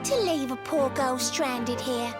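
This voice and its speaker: A trusting, lovelorn mermaid girl speaks in rhyme in a game cutscene. Her voice is extremely high and unhurried, lilting through sing-song lines, with loud music behind her. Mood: wistful, pining. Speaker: video game character actor. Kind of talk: rhyming theatrical cutscene dialogue. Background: loud game soundtrack music.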